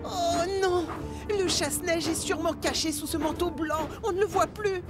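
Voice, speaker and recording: A woman's voice: extremely high pitch, normal speed. A woman playing an eager, impulsive young male tank engine in a French cartoon dub, childlike and emphatic. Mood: worried. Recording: music behind the voice.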